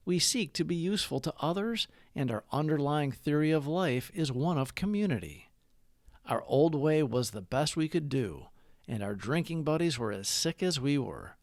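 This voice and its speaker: A man's voice: on the high side, no echo, clean studio sound. A man hosting a recovery channel, reading a scripted daily reflection and prayer.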